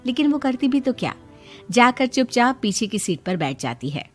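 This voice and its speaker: A woman's voice: a little high, sing-song, normal speed, faint music behind. A woman narrating a scripted cartoon moral story as its storyteller.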